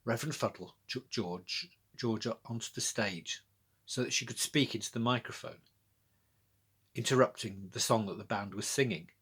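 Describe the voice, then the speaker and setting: Husky British man reading a children's story. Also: on the low side, normal speed, fairly bright tone. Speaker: author-narrator. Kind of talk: scripted children's storybook narration.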